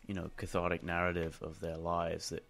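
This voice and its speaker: A man's voice: sits low, monotone, fairly quick, gravelly, a bit bright. A man talking as a panelist in a casual discussion, musing and somewhat hesitant.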